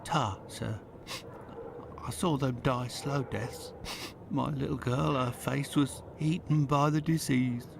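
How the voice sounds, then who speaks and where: A gruff, blunt man, talking as if nothing could bother him. His mid-pitched voice comes through video game audio over a clear layer of noise. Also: steady tone, takes it slowly. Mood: flat. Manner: blunt, casual, unbothered. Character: gruff working-class London man, unbothered. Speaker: game character.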